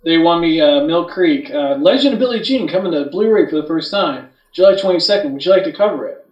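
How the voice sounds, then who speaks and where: A man's voice: on the high side, lively intonation, fast talker, dull audio. A man co-hosting a podcast, talking casually and bluntly in slangy, unscripted language. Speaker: podcast co-host. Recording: roomy, distant from the microphone.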